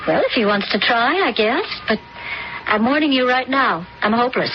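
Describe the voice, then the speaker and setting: A woman's voice: on the high side, expressive, moderate pace, natural voice. An actress playing a reluctant, self-deprecating woman in a radio drama. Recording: dull, noisy old radio recording.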